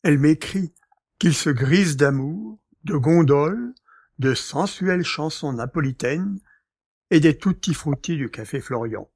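A man playing a courteous, gossipy gentleman master in a French audio drama, speaking formally. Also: fairly high pitch, expressive, measured pace, gravelly, slightly rough, no echo, exaggerated voice. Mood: urbane, amused.

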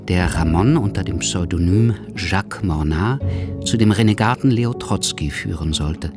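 Male narrator for radio drama, low register, clear music bed beneath.

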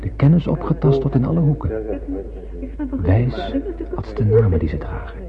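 Nervous spaceman, musing, theatrical, intense, mid-pitched, radio drama narration, thin band-limited old recording. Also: big pitch swings, normal speed, gravelly, a loud crowd. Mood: musing, wry. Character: young spaceman narrator Ishmael, wondering and nervous. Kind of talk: dramatic first-person radio play narration. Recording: narrow lo-fi old radio recording, faint noise.

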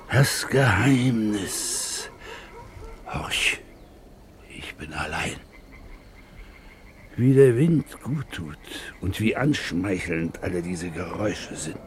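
An actor playing a sinister, secretive man in melodramatic, drawn-out style in a 1950s radio play. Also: fairly low pitch, creaky, exaggerated voice. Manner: melodramatic, drawn-out, from whispers to cries. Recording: 1950s radio recording with noise.

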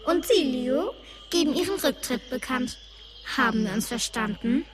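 A woman's voice: high-pitched, animated, normal speed. An actress playing a hard, demanding woman in a German science fiction radio drama, curt and insistent. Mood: cold, insistent.

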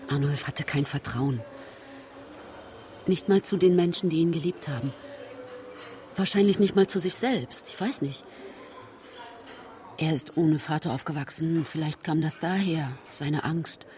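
A woman's voice: low-pitched, a little slow, pauses often, mellow. A woman acting a worried middle-aged mother, bitter about her ex, in a naturalistic radio drama. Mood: bitter, reflective.

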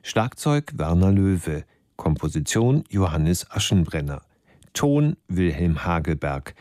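Deep voiced guy announcing on 50s radio, slow n precise, formal, very dry sound. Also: a little mellow, no room sound. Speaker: radio announcer reading credits.

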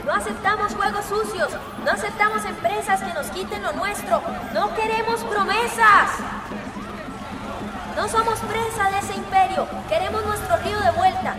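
A woman's voice: high voice, animated, a little fast, over loud music. A woman playing a defiant, passionate village protest leader in a radio drama, speaking in an emphatic, declamatory way amid a noisy crowd. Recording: noisy street crowd behind.

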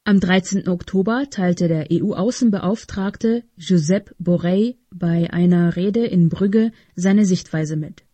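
A woman reads a prepared commentary for radio. Her voice is soft-edged and dark, with a noticeably husky grain, and it sounds very dry, with no room around it. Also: on the low side, slow pace, hardly any pauses, no room sound. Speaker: radio presenter reading a commentary. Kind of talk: scripted reading of a political essay.

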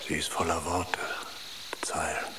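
Enraptured male poet: low, hushed, reverent, on a noisy recording. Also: little pitch movement, measured pace. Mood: hushed rapture. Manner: hushed, reverent, often near whisper. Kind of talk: radio drama acting.

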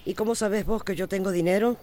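A woman's voice: medium pitch, a little fast, darkish tone, close to an everyday voice. An actress playing a proud, bossy widow in her seventies with a Central American accent, in a radio soap opera. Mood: stubborn, indignant. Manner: bossy, indignant. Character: stubborn, proud widow of seventy-four, mayor's mother.

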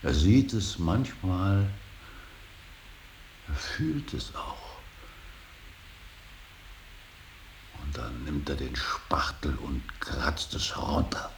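Very deep, gravelly old painter. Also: male voice, slow, heavily acted. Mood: bitter self-doubt. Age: older. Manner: solemn, intense, swings from hushed whisper to outburst. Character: stern, brooding old painter-professor and father. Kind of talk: 1980s German radio drama acting. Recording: hissy radio drama recording.

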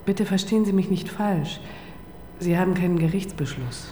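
An actress in a radio crime drama playing the smooth, suggestive woman who manages a dating site. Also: lowish voice, normal speed, mellow, slight background noise, natural voice.

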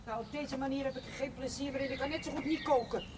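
Actress as a shrill-pitched scolding housekeeper, noisy recording. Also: female voice, fairly even intonation, fast, natural voice. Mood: grumbling, scolding. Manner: scolding, complaining. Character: grumbling, bossy priests' housekeeper and cook. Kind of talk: television period drama acting. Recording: clear background noise on TV soundtrack.

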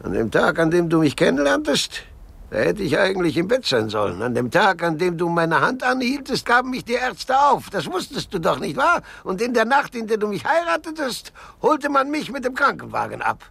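An actor playing a frail, blind old husband in a radio play, querulous, morbid and wry, with a halting, theatrical delivery. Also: male voice, high-pitched, sing-song, vocal fry, fairly bright tone, husky, no echo, exaggerated voice. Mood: bitter, querulous. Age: older.

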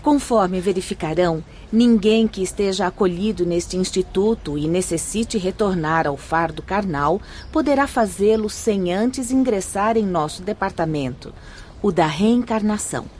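A woman's voice: medium pitch, moderate pace, a little mellow, lightly acted. Woman acting a young spirit lady instructor in a radio play, formal n earnest, faint noise in the background. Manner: formal, solemn, didactic.

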